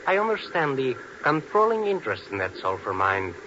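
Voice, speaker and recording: An actor playing a hot-headed Latin American engineer, emphatic and formal, in old radio drama. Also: male voice, mid-range voice, big pitch swings, a little fast, pushed and bright. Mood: earnest, defensive. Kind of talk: 1950s radio drama acting. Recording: muffled, noisy 1950s radio recording.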